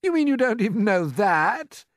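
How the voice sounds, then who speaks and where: Cheeky, scornful storybook centipede, voiced by a man: brash, high, very animated. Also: normal speed, no room sound, clean studio sound. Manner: mocking, brash. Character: rude, scornful, grinning centipede who mocks everyone. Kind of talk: storybook character voice.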